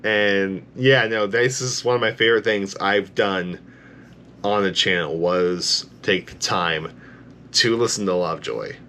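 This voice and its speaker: A man with a low bass voice giving an enthusiastic, rambling reaction to a song, full of "like" fillers, tired late at night. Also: a little slow, bright, forceful tone, slight background noise. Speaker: music reaction YouTuber. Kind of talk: casual reaction commentary.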